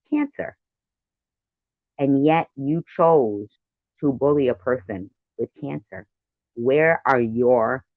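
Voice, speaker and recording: A man's voice: medium pitch, no room sound. A man hosting a live stream, giving blunt, argumentative commentary that is peppered with swearing. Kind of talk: reaction commentary over played clips. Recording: dull lo-fi close microphone.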